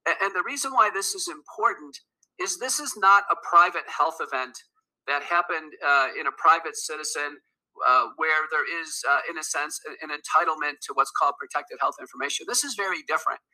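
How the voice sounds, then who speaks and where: A man's voice: a little high, a little fast, pushed and bright, low-quality recording. A man interviewed as a doctor guest, speaking firmly and assertively, listing facts steadily. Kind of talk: interview clip.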